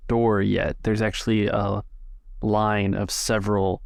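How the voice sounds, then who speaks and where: A man working as game master, narrating a tabletop game in a halting, conversational way. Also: fairly low pitch, flat delivery, creaky, clean tone, dry recording, studio quality.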